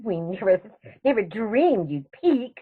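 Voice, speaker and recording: Genteel elderly aunt, female actor, radio stage comedy: serene, chiding, very expressive melody, slightly lo-fi sound. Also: mid-range voice, takes it slowly, breathy edge, full character voice. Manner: prim, gently chiding, unruffled.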